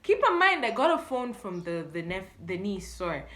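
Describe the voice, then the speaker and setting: A woman's voice: mid-range voice, big pitch swings, normal speed, fairly bright tone. A young woman with a Malawian accent telling a story in casual chat, animatedly acting out the dialogue as she goes. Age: young adult. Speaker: YouTube storyteller. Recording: echoey room sound.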